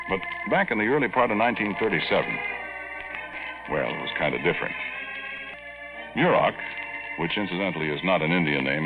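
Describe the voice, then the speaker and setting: An actor playing a folksy, wisecracking Air Corps veteran telling his tale in slangy American speech for radio drama. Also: sits low. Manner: colloquial, slangy, confiding. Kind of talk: old-time radio first-person storytelling. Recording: tinny, band-limited vintage radio recording.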